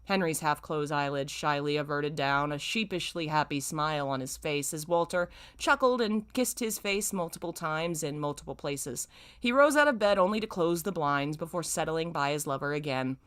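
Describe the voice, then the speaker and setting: A woman's voice: deep voice, animated, normal speed, smooth. A woman streamer commenting casually and reading aloud in an exclamatory, informal, self-mocking manner.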